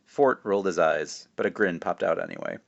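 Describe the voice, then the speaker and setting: Low-voiced man, casual children's author reading. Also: a little fast, gravelly, lo-fi sound. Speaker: children's author reading his own book. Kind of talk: casual introduction and storybook narration.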